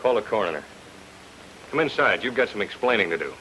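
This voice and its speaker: A man playing a gruff, suspicious police detective, curt and accusing, in an old-time radio noir. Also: on the low side, lively intonation, fairly quick, close to an everyday voice. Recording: hissy old radio recording.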